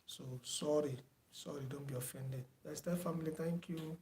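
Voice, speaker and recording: A soft-spoken man closing an online driving theory class, talking unhurriedly with many fillers and repeats. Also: a little high, steady tone, moderate pace, a little hiss or hum. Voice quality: soft-spoken, says he does not shout. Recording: echoey room with faint background ambience.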